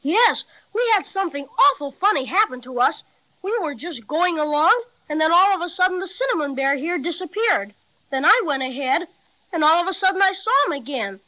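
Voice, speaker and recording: A plucky, eager young boy with a high, lively voice. It comes through a dull, lo-fi 1930s transcription. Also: full character voice. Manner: brisk, plucky. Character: eager young boy, bold big brother.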